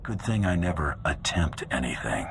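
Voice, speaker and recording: An actor playing a gruff, cocky male bounty hunter champion in a video game, terse and dry. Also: sing-song, moderate pace, noisy background, heavily acted. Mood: cool, cocky. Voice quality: very deep voice.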